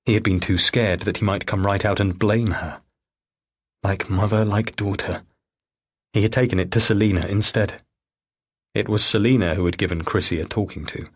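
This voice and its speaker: A male audiobook narrator reads scripted thriller fiction. His voice sits on the low side and has a husky edge. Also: steady tone, moderate pace.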